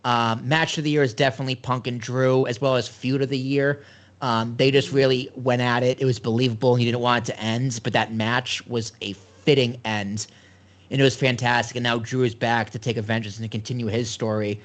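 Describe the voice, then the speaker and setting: Male podcast co-host, casual and rambling, eager fan energy, mid-pitched voice. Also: level tone, a little fast. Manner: loose, rambling, enthusiastic fan talk. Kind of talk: casual chat.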